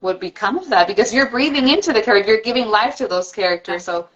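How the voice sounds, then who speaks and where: A woman's voice: fairly high pitch, animated, fast, a bit bright. A woman hosting a casual live video chat, a gushing fan asking questions. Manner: gushing fan, frequent exclamations. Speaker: host, fan. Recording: dull lo-fi livestream audio.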